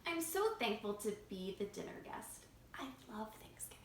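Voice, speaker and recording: A woman voicing an excited, proud and grateful male turkey, exclaiming gleefully in a children's storybook read-aloud. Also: mid-range voice, moderate pace, whispered, recorded from a distance, pitched below the performer's own voice. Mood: thankful. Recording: roomy echo, faint background noise.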